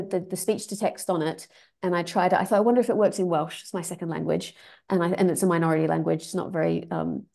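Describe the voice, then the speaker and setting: A woman's voice: fairly low pitch, gravelly, warm tone. A woman giving a webinar presentation over a video call, talking with many ums and restarts. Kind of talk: academic webinar talk.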